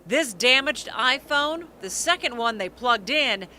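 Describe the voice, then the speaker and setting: A woman's voice: on the high side, expressive, unhurried, noisy background. An American woman delivers consumer news as a television reporter. She moves between scripted report and studio explanation, addressing viewers in a broadcast style.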